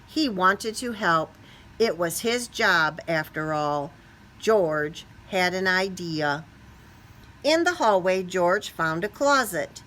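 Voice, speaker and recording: A woman's voice: fairly low pitch, animated, slow pace, fairly bright tone. A woman reading a children's picture book aloud expressively, stretching key words and putting questions to young listeners. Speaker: storybook reader.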